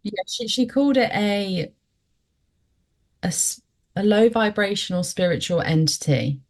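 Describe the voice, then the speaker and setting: A woman's voice: mid-range voice, animated, measured pace. A woman, a guest recounting a personal experience in a reflective, hesitant way. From West Yorkshire, England. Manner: reflective, hesitant, lots of fillers. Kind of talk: conversational storytelling interview.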